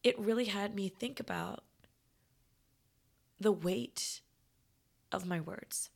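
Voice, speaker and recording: A woman podcast host with a hoarse, raspy voice she has partly lost, talking in a halting half-whisper in a casual, reflective monologue. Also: medium pitch, a little mellow. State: hoarse, losing her voice.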